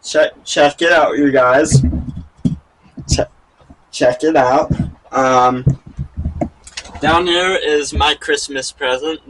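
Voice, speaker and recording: A man hosting a goofy comedy vlog, raspy from a cold, talking hesitantly with many ums and restarts. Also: medium pitch, a bit bright, slight background noise, poor audio. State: has a cold. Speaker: vlog host.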